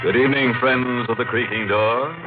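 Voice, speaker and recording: A man's voice: medium pitch, fairly even intonation, normal speed, close to an everyday voice. A man playing a gleefully macabre, mock-sinister horror host in the theatrical style of 1940s radio. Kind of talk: 1940s radio horror hosting, tongue-in-cheek. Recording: tinny narrow-band old radio, organ music.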